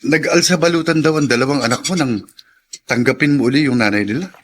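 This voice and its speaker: A man's voice: medium pitch, fast, a bit bright, lightly acted. A man with a Filipino accent acting in a radio drama as a concerned, inquisitive friend. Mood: curious, friendly. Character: inquisitive, concerned male friend of a pedicab driver.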